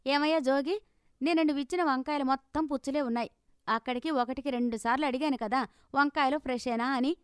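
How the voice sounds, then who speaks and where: A woman voicing an indignant, suspicious, sharp-tongued housewife in a cartoon moral story, firing demanding questions. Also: sits high, a little fast, no echo, clean studio sound, voice pushed up, natural voice. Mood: indignant complaint.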